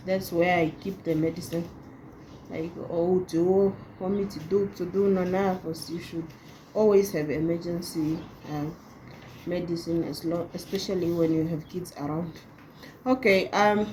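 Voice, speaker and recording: Halting thirty-year-old woman vlogging. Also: fairly low pitch, darkish tone, a little hiss or hum, poor audio. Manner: halting, with many restarts and laughs. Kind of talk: casual talk to camera.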